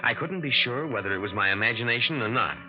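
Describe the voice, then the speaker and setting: A man's voice: medium pitch, expressive, fast, over music, close to an everyday voice. A man playing a scheming impostor heir. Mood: tense. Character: scheming young man posing as heir, tense. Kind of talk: 1940s radio drama acting. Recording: dull old radio broadcast.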